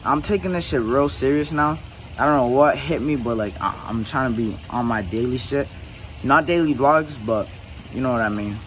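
A man's voice: mid-range voice, moderate pace, a bit bright, slight background noise, rough recording. A young man chatting casually into a phone at arm's length by a backyard pool, loose and full of slang. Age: young adult. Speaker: vlogger. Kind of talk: casual vlog chat. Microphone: phone held at arm's length.

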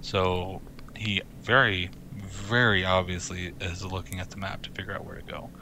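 A man's voice: sits low, little pitch movement, normal speed, bright, forceful tone, a little hiss or hum. A man giving casual commentary in a dry, mocking way. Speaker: critic in a played video.

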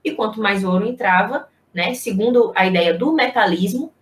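A woman's voice: mid-range voice, normal speed. A young Brazilian woman giving an informal, explanatory online history lecture, posing rhetorical questions as she teaches over a video call. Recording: echoey room on video-call microphone.

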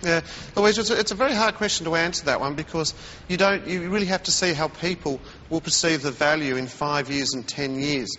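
A man being interviewed as a commodities analyst on live TV news, talking in a measured way and murmuring agreement while others speak. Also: a little high, bright, forceful tone, long reverb tail, muffled recording, a little hiss or hum. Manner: measured, with frequent murmured acknowledgments. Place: remote TV studio.

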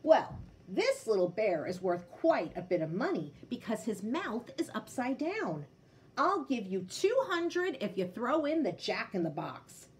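An elegant gentleman customer from a children's storybook, read by a woman. He is polite, businesslike and matter-of-fact, with lively intonation. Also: fairly high pitch, unhurried, a little hiss or hum.

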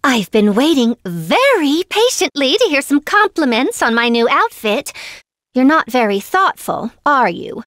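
A haughty young noblewoman from a video game, speaks in a high voice that swoops with pouty indignation. She takes her time, pompous and demanding, in a dry, close recording. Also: sing-song, unhurried, a bit bright, no echo, exaggerated voice. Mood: indignant, pouty. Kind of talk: video game character voice lines.

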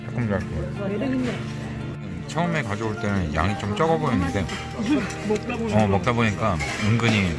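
A man's voice: on the low side, lively intonation, moderate pace, long pauses. A man chatting casually as a diner reacting to food. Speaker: diner giving taste reactions. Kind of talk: casual chat. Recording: very loud music over location speech. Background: loud music.

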